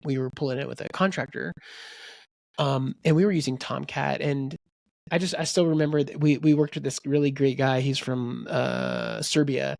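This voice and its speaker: Man, co-host, gravelly, dry close sound, rambling book chat. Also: mid-range voice, moderate pace, no room sound. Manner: rambling, animated, quotes people in character voices. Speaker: podcast co-host. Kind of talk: casual chat about a book.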